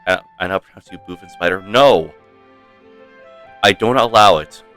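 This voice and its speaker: A man's voice: sits low, normal speed. A man streaming a game with casual, playful, rambling commentary for his chat. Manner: rambling, playful, mock-stern. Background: soft game music.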